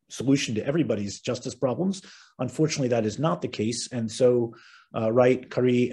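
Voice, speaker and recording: A middle-aged man with an American accent, hosting an online webinar and giving formal opening remarks in a measured, earnest way. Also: mid-range voice, mellow, slightly rough. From United States. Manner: measured, earnest, occasional ums and restarts. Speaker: webinar host and moderator. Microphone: video call webinar.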